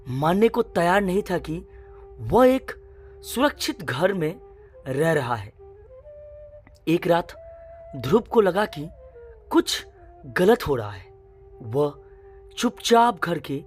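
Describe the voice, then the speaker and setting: Slow, low-pitched woman narrating a suspense story.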